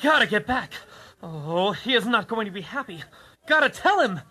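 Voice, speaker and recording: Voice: medium pitch, sing-song, moderate pace, bright, forceful tone. A performer voicing a small, portly, nervous alien who stammers and repeats words in a radio drama. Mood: anxious muttering. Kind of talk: old-time radio drama acting.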